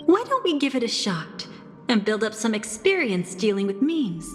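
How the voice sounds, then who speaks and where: A woman's voice: fairly high pitch, sing-song, moderate pace, echoey room, in a large hall. An actress voicing an enigmatic, teasing young woman in a video game, speaking with coolly theatrical composure. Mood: calm, musing. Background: game music.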